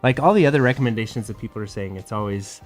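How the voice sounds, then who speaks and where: A man co-hosting a podcast in casual chat, speaking informally with hesitant, trailing pauses. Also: fairly low pitch, soft background music. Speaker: podcast co-host.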